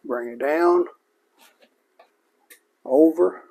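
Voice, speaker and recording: A man giving a casual repair demonstration, plainspoken and unhurried, speaking in short phrases as he works. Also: a little high, lively intonation, creaky, dark tone, husky. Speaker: host of a vintage electronics repair channel.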